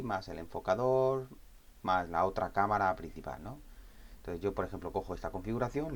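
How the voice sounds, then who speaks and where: Low-voiced man explaining software setup. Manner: explanatory, with frequent "¿vale?" and "¿no?" check-ins and self-corrections. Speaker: astronomy equipment instructor. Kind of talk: screen-recorded software tutorial.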